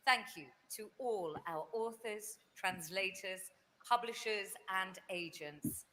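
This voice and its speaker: A woman's voice: medium pitch, takes it slowly, sharp tone. A woman hosts a live prize ceremony, reading out the shortlist in an upbeat, presenter-like way. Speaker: ceremony host. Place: event venue. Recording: noisy venue stream.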